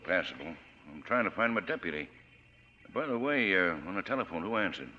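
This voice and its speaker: Casting: gruff, suspicious lawman, animated delivery, vintage radio drama with heavy background noise. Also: low-pitched, fairly quick. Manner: blunt, clipped questioning. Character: gruff, suspicious, hot-tempered male small-town sheriff. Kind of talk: 1940s radio acting. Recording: noisy, dull vintage radio recording.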